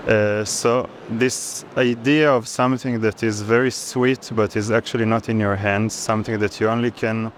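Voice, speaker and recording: Over a noisy urban background, a man in his thirties speaks in a low voice with an Israeli accent. He explains his art reflectively, halting and searching for the right words. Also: little pitch movement. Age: 30s. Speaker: artist interviewed. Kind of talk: reflective explanation of own artwork. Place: busy art fair. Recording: clear urban background noise at fair.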